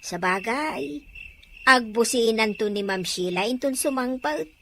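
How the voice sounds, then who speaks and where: A woman's voice: a little high, animated, a little slow, fairly bright tone, a little hiss or hum. A woman playing a cheeky, gossipy household maid in a radio drama, with a teasing manner and a Filipino accent.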